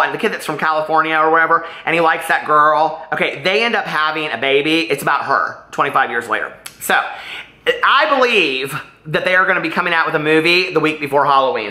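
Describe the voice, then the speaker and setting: A man's voice: fairly high pitch, sharp tone, reverberant. A man talking casually in a book vlog, chatty, gushing and emphatic, wandering off on tangents. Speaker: book vlogger.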